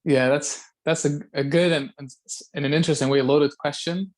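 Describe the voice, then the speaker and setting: A man's voice: fairly high pitch, steady tone, normal speed. A man in his thirties from Belgium, a guest talking casually over a video call, thoughtful and often repeating words as he searches for them. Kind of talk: casual conversation. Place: home room by a window.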